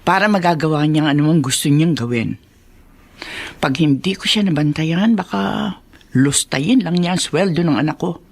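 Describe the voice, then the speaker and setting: A woman's voice: low-pitched, normal speed. An actress in a radio drama playing a bitter, meddling older mother-in-law who speaks with scornful, insinuating melodrama. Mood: resentful scheming.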